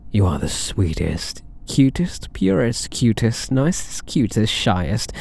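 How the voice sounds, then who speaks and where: Slow, low-voiced British catboy thief, acted by a man. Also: big pitch swings, creaky, a little mellow, heavily acted. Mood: adoring, teasing. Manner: slow, coaxing, teasing, theatrical endearments. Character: possessive, smug, doting catboy cat burglar. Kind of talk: ASMR roleplay, intimate scripted monologue.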